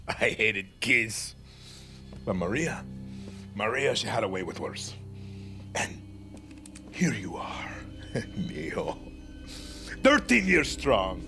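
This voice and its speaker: A cold, theatrical game dictator of middle age. He speaks slowly and deliberately, with a very animated pitch that drops into hushed menace. Music plays clearly behind him. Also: heavily acted. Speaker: actor. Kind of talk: cinematic video game acting.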